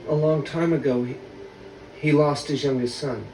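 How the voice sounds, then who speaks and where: A man voicing an innocent, gentle youngest brother in an animated film, sincere and simply spoken, a little hesitant. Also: on the high side, normal speed, lightly acted. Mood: subdued, sad. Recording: echoey, distant, noisy film soundtrack.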